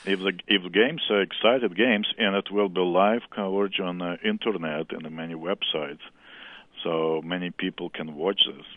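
A man from Russia with a Russian accent, a chess school director talking earnestly in halting English as an interview guest. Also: deep voice, steady tone, no echo. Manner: earnest, halting non-native English with some laughter. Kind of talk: phone interview. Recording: tinny phone line.

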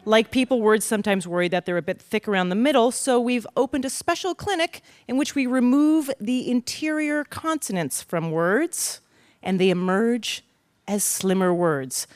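A woman's voice: a little high, moderate pace. A woman hosting a live quiz show, chatting with contestants in an upbeat, bantering way. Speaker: game show host.

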